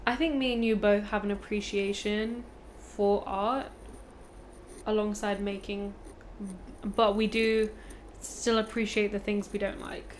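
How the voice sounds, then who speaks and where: A woman's voice: mid-range voice, flat delivery, unhurried, a little hiss or hum. A woman guest on a podcast, chatting in a teasing way and laughing often. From Punjab, India. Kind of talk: casual chat.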